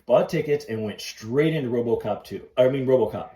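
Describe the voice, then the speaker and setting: A man's voice: mid-range voice, brisk, warm tone. A middle-aged man hosting a podcast in casual, enthusiastic fan chat. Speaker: podcast host. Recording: roomy, echoey sound.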